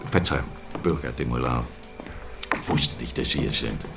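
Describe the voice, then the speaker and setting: An actor playing a matter-of-fact embalming doctor in a radio drama, bluntly clinical and explanatory, with a gravelly voice. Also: male voice, rumbling low, moderate pace. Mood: brisk, clinical. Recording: music behind the voice.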